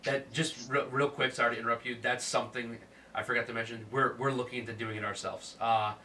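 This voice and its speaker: A man's voice: mid-range voice, little pitch movement, fast, sharp tone, recorded from a distance, slight background noise. A man hosting a casual chat, sounding stuffed up from a cold, with a laid-back manner. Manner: laid-back, lots of fillers and laughs. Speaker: podcast host.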